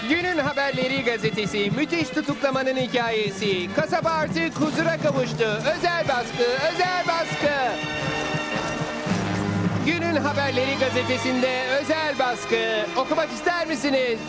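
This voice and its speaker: A man voicing an excitable, eager small-town newspaperman in a Turkish cartoon dub, given to proclaiming. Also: very high-pitched, normal speed, over loud music, low-quality recording. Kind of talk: Turkish-dubbed cartoon dialogue.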